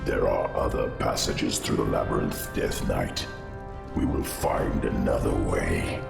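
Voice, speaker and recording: Undead insect monarch: abyssally low, echoing, guttural, ancient and solemn game villain. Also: male voice, heavily acted. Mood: grave, steady. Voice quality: gravelly, guttural. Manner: measured, formal speech. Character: ancient undead nerubian insect lord, grave and loyal. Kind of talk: video game cinematic acting. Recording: echoing voice under clear music.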